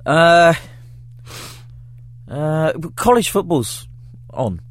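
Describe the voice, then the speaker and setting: Halting male podcast co-host. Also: a little high, big pitch swings, dry recording. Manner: halting, searching for words. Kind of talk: casual sports podcast chat.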